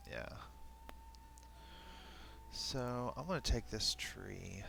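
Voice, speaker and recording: A man's voice: mid-range voice, creaky, a little hiss or hum. A man live-streaming alone at his desk mic, rambling and thinking aloud with many fillers, a bit sniffly. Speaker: streamer making battle maps. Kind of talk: casual live-stream talk to himself. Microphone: desk microphone. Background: quiet background music.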